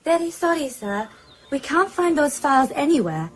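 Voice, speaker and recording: An actress playing an apologetic, deferential office secretary in a radio drama. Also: female voice, high voice, big pitch swings, normal speed, soft background music.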